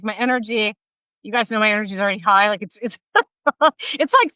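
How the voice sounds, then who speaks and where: A woman in her fifties hosting a casual interview over a video call, energetic and laughing often. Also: fairly high pitch, no room sound, low-quality recording. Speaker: podcast host. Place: bright room at home, indoors. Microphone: video call, laptop mic.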